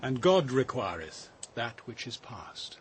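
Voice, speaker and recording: An actor in a radio drama playing a thoughtful, reserved detective with deliberate, literary phrasing. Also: male voice, medium pitch, sing-song, slight background noise. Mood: reflective. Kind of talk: BBC radio drama dialogue.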